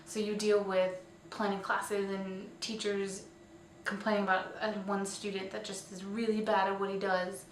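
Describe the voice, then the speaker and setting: A woman's voice: mid-range voice, little pitch movement, moderate pace. Woman vlogger, roomy distant recording. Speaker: host of a weekly online show. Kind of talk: casual scripted-outline vlog commentary. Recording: roomy, distant mic, faint noise.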